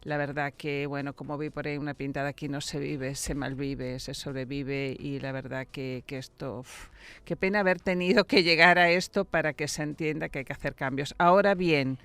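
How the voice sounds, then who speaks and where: A woman from Venezuela, a political scientist, gives measured, reflective answers in a radio studio interview and often repeats words as she thinks. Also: deep voice, steady tone, fairly bright tone, clean tone.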